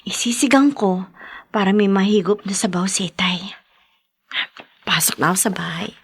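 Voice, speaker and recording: A woman's voice: medium pitch, normal speed, breathy edge. A woman playing a sweet, devoted young daughter in a Filipino radio drama, with a Filipino accent. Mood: tired, chatty.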